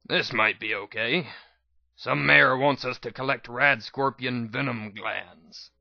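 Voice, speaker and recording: A weary, suspicious male pony from a fantasy storybook, acted by a man, talks in a deadpan, sardonic way. The sound is dry and slightly dull. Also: mid-range voice, slow pace, gravelly, no room sound. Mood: dry, unimpressed.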